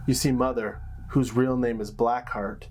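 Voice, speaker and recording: A man's voice: medium pitch, fairly even intonation, unhurried. A man tells a dramatic fantasy story as a game master, slipping into casual asides. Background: soft plucked-string music.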